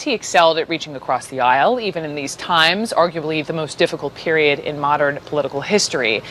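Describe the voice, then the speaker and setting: A woman's voice: low-pitched, fairly bright tone, a little hiss or hum. A woman with an American accent anchoring a live television news broadcast.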